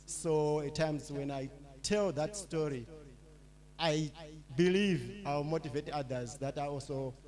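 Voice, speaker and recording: A middle-aged man from Zimbabwe giving a public lecture, talking in a halting way with many fillers and restarts. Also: fairly high pitch, darkish tone. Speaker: lecturer, associate professor.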